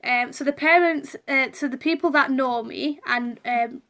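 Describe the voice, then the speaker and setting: A woman's voice: high voice, normal speed, vocal fry, sharp tone. A young woman talking openly to her viewers in a chatty personal vlog, with lots of ums and restarts. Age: young adult. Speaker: vlogger.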